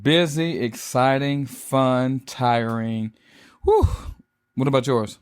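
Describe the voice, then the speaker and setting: A man co-hosting a casual podcast, playful and quick to laugh, who directs choirs. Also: mid-range voice, smooth. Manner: playful, laughs often, teasing. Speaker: podcast co-host. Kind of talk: casual chat.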